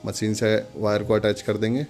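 A man's voice: on the low side, level tone, moderate pace, darkish tone, soft background music. An Indian man giving a casual step-by-step product demonstration, instructing viewers in a friendly, direct address.

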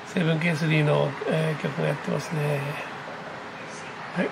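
A man giving casual, excited live commentary as a hobby vlogger, reacting aloud in exclamations as he goes. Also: a little high, normal speed, vocal fry, background noise. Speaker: amateur radio operator hosting his own video. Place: indoors at a desk, filming a computer screen.

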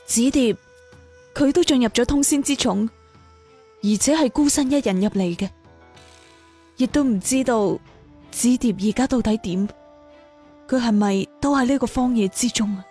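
A young maiden of a martial fantasy audio story, played by a woman, speaking in a high voice. She is modest, polite and thoughtful, with measured words over faint music. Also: warm tone, breathy edge. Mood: concerned. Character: self-effacing young martial-world maiden, thoughtful and worried.

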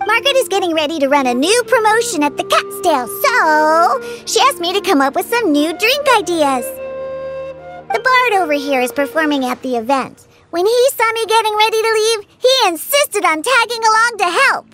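A very high, swooping child's voice plays a feisty little cat-girl bartender from a video game. She is grumpy, sarcastic and quick to scold. Music plays behind her. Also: lively intonation. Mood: huffy, exasperated. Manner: huffy, indignant, scolding. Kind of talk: anime-style video game voice acting. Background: gentle orchestral game music.

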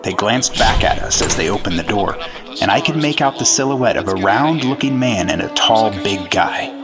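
A man performs an earnest government agent from the 1950s, recounting his case noir-style for a radio drama. His voice is on the low side, over loud dramatic music. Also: animated, moderate pace, natural voice. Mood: tense, earnest. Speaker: lead actor and narrator. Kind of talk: noir-like first-person radio drama narration.

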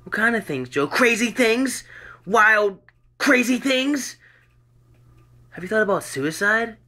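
A man's voice: high-pitched, lively intonation, takes it slowly, pushed and bright, pitched above the performer's own voice, heavily acted. A man voicing a probing doctor who questions his patient in a coaxing, patronizing way.

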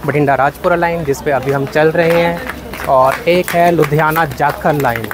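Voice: medium pitch, measured pace. A travel vlogger explains a train journey, addressing viewers directly. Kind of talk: train journey vlog commentary. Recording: loud train and outdoor noise. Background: train, vehicle and outdoor sounds.

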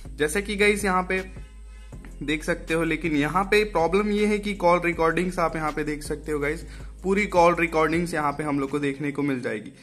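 A man's voice: on the high side, a bit bright. A man with an Indian accent hosting a casual step-by-step phone tutorial, conversationally addressing viewers and repeating his instructions. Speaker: tech tutorial host.

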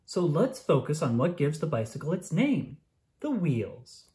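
A narrator reading a children's science book aloud in a clear, expressive storytelling manner for young children. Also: mid-range voice, unhurried, a little hiss or hum.

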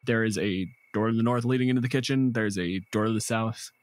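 A man's voice: lowish voice, brisk, dry recording. A man narrating a tabletop game as game master, improvising informal descriptions with the odd swear word.

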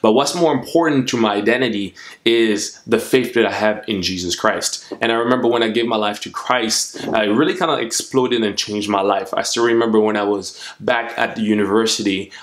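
A man in his twenties from the Democratic Republic of Congo, speaking earnestly to camera as a motivational vlogger. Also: fairly low pitch. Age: 20s. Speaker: YouTube host. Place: small furnished room at home.

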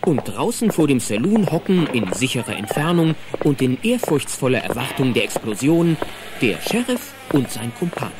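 A man's voice: mid-range voice, very animated, normal speed, background noise. A man narrating a children's audio play as scripted storytelling, vivid and suspenseful. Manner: vivid, suspenseful present-tense telling. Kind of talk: dramatic scripted storytelling in German.

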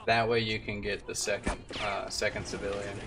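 A man's voice: on the low side, flat delivery, normal speed. A man in his twenties giving casual commentary as he streams a video game. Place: indoor room at home. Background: game music.

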